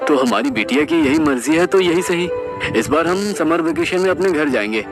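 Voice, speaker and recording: An earnest father and husband, as a male narrator plays him, pleading and animated. His voice swings up and down over background music in a spoken storybook. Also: a little high, normal speed, voice pushed up, natural voice. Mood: eager. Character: worried adult family man, father.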